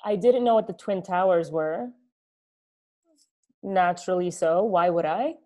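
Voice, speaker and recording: A woman in her late twenties, from Egypt and raised in New York, talks slowly in a lowish voice. She is candid and reflective and often says "like" while she thinks. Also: warm tone. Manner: candid, reflective, with many "like" fillers. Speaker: interview guest. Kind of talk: casual conversation.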